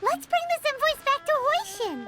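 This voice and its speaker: Casting: excitable little girl game companion, piping high, very animated delivery. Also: normal speed, soft background music, full character voice. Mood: excited, eager. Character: small childlike girl companion, excitable and eager. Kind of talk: video game voice acting.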